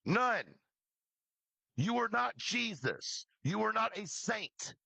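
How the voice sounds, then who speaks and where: A man's voice: sits high, sing-song, takes it slowly, creaky, sharp tone, slightly rough, no echo. A man who creates true crime livestreams, delivering an impassioned, scolding rant with free swearing and emphatic repeated phrases. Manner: swears freely. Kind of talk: impassioned rant addressed to an absent person.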